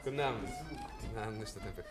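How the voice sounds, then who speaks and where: A man's voice: fairly low pitch, very fast, over loud music, close to an everyday voice. An actor playing an affable, deferential businessman in a 1950s German radio play, chatty and a little hesitant. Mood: eager, polite. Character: affable, eager, polite businessman making small talk.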